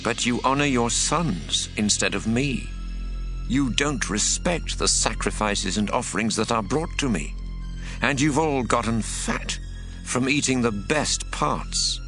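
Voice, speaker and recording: An actor delivering the stern, authoritative words of God in an audio drama, solemn and measured. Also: male voice, mid-range voice, animated, fairly bright tone. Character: stern, authoritative God condemning through his prophet's message. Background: prominent music.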